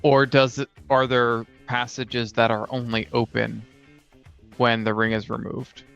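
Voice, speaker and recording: Male co-host, casual and thoughtful, even delivery with vocal fry, slightly dull recording over music. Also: medium pitch, unhurried. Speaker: co-host and player on a tabletop gaming show. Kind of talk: casual brainstorming chat.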